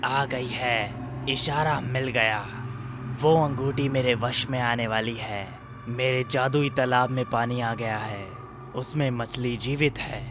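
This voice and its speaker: A man's voice: mid-range voice, fairly even intonation, slow, background noise, natural voice. A man playing a menacing, grandiose sorcerer hungry for power in a children's cartoon story. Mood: gloating, commanding. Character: scheming, power-hungry male sorcerer of the cave. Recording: music behind the voice.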